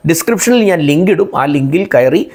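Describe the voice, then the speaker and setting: A man's voice: fairly high pitch, lively intonation, moderate pace, darkish tone. A man from India with a Malayalam accent in his English, hosting an informational explainer for viewers and repeating and rephrasing key points. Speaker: video channel host.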